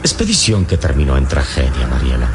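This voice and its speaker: Very deep-voiced, solemn adventurer hero. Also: male voice, wildly swooping pitch, full character voice. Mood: courteous, solemn. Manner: grandiloquent, measured, courteous. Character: wise, bold adventurer hero, gallant and solemn. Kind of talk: old radio serial acting. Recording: noisy old radio serial, vehicle drone.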